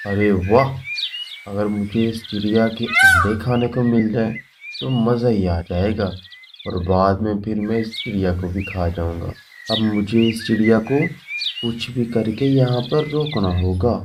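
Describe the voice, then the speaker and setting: A man's voice: fairly low pitch, big pitch swings, unhurried, distant mic, background noise. A man voicing a greedy, scheming eagle who blusters and feigns friendliness in a children's cartoon. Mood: greedy, plotting. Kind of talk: Hindi children's cartoon voice acting.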